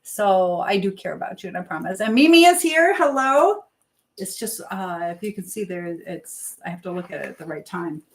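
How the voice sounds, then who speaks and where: A woman hosting a live chat, talking casually to viewers in a rambling, halting way. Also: mid-range voice, very animated. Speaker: livestream host.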